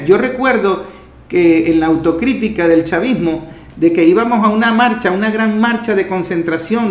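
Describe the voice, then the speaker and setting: A man with a fairly high voice and a Venezuelan accent speaks in an echoey room. As the interviewer he talks discursively, building long and earnest reflections on the way to his question. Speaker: interviewer, host. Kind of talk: discursive political interview talk.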